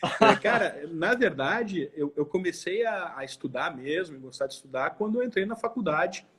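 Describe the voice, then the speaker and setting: A man in his forties with a somewhat high, husky voice and a southern Brazilian accent. He talks casually as an interview guest, thinking aloud and repeating words as he reflects. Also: lively intonation, normal speed, a bit bright. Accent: southern Brazilian, Porto Alegre. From Porto Alegre, southern Brazil. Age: 40s. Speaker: law professor interviewed as guest. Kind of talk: casual interview, recounting own life.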